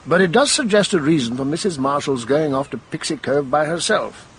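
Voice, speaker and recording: Gravelly, animated police colonel. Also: male voice, on the high side, slight background noise. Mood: brisk, inquiring. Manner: brisk, questioning, official. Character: brisk, businesslike male police colonel. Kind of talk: radio mystery drama acting.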